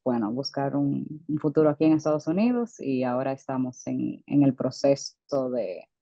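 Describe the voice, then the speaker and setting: A woman's voice: rumbling low, dark tone, dry recording, dull audio. A woman in her thirties, a dentist guest in an interview, telling her story with frequent hesitations and restarts. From United States, Dominican parents. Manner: hesitant, many fillers and restarts. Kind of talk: conversational interview.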